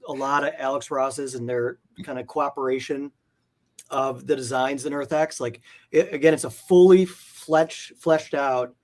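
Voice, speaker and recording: Male interview guest, medium pitch, enthusiastic hobbyist collector, conversational and rambling, lots of "like". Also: steady tone, measured pace, a little mellow. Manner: rambling, peppers talk with "like". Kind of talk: casual enthusiastic chat about comic art.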